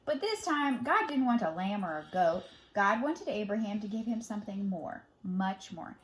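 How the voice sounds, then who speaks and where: A woman reading a children's Bible story aloud as a storyteller, dramatic in delivery, moving between emphatic and hushed phrasing. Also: medium pitch, lively intonation, normal speed.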